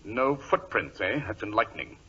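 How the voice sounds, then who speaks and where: English gentleman detective, vintage radio mystery. Forceful, animated, self-assured, British accent, lo-fi period recording. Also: fairly low pitch, moderate pace. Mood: probing. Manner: crisp, probing, self-assured. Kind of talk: 1940s radio drama acting. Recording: dull, lo-fi old radio recording.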